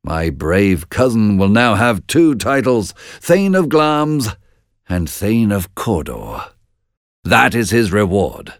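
Guy doing a bossy storybook king, emphatic and decisive, lively pitch, dry sound. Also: slow pace, no room sound. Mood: commanding. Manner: emphatic, imperious. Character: commanding male Scottish king Duncan, decisive ruler. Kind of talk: storybook narration.

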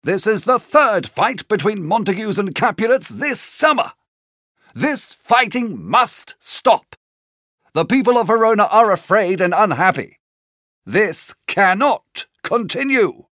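Woman playing a stern, deliberate, commanding prince. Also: medium pitch, sing-song, a bit bright, no echo, clean studio sound. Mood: angry, commanding. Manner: commanding, emphatic. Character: stern, angry male prince commanding his people.

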